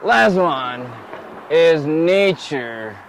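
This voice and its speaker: A man's voice: a little high, lively intonation, very slow, a little hiss or hum, low-quality recording. A man interviewed on the street, working steadily through a list and explaining each item. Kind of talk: listing and explaining types.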